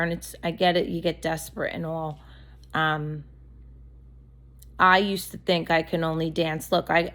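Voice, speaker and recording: Blunt woman ranting on a vlog. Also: on the low side. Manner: candid, blunt, rambling rant. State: losing her voice from allergies. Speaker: vlogger. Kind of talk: unscripted rambling monologue.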